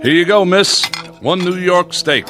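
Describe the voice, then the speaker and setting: An actor playing a folksy, no-nonsense short-order cook in a radio drama. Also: male voice, high voice, measured pace. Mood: plainspoken. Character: plainspoken male short-order diner cook. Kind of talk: vintage-style radio drama acting. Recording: prominent music behind the voice.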